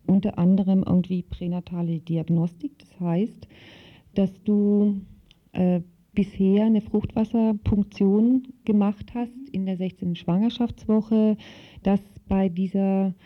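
A woman being interviewed, explaining things informally and colloquially, often halting to search for words. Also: mid-range voice, steady tone. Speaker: interviewee knowledgeable on the subject. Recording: dull lo-fi interview tape.

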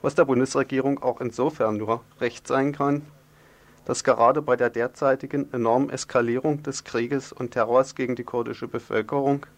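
Man reading scripted political radio news. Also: medium pitch.

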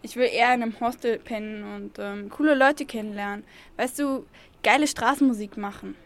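A woman's voice: fairly high pitch, brisk, natural voice. A woman playing a cool, impulsive young songwriter in an amateur radio play, blunt and slangy. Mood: flippant. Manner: slangy, brash, swearing.

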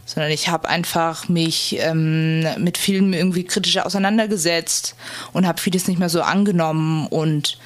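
A woman's voice: sits low, steady tone, moderate pace. A woman from Germany giving a reflective personal account in an interview, searching for her words as she goes.

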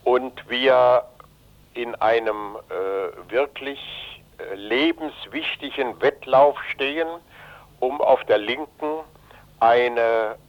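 Radio interview guest: man, 80s, from Germany, emphatic and deliberate with pauses, dull band-limited sound. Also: medium pitch. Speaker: interview guest, retired journalist and anti-fascist activist. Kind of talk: radio interview, political account.

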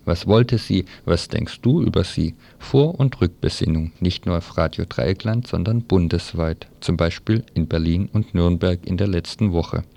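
Male radio presenter, semi-casual programme announcements, deep pitch with noticeable vocal fry. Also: warm tone.

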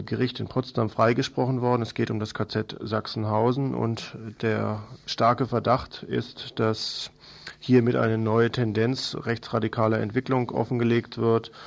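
A man's voice: medium pitch, little pitch movement, a little slow, gravelly. A man presenting an informal radio news overview, part read and part improvised, addressing listeners familiarly.

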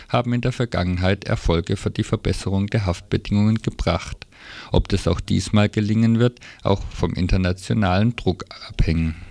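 A man's voice: fairly low pitch, creaky, darkish tone, dry recording. A man presenting radio news, reading a scripted report.

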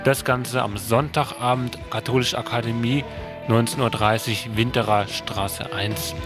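Male radio announcer, very even intonation, event listings, plucked guitar clearly behind. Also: mid-range voice, measured pace. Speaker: radio presenter. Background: plucked guitar music under the voice.

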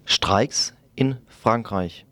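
A man's voice: lowish voice, very slow, a bit bright, faint music behind. A man announcing scripted news headlines as a radio station announcer.

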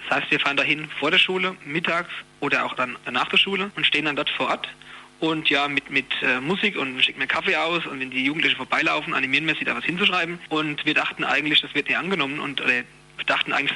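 Man, spontaneous interview answers, colloquial, slightly high pitch, rapid pace. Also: sharp tone, breathy edge. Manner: colloquial, explanatory. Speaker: trade union youth secretary, interviewee.

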